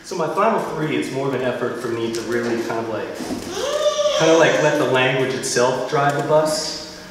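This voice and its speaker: An American man reading his poetry at a live event, with measured, deliberate phrasing. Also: medium pitch. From Philadelphia, United States. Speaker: poet reading own work. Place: live reading venue, indoors. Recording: very echoey hall, distant mic, faint noise.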